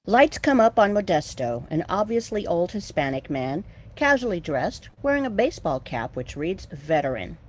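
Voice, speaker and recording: A woman's voice: on the low side, very animated, normal speed, hardly any pauses. A woman reading out scripted stage directions as narrator for a play.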